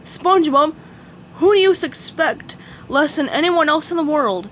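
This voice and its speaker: A woman voicing a dim, friendly male starfish in a storybook, slow, simple and cheerful. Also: high voice, lively intonation, a bit bright, a little hiss or hum, voice pushed up, poor audio. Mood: goofy.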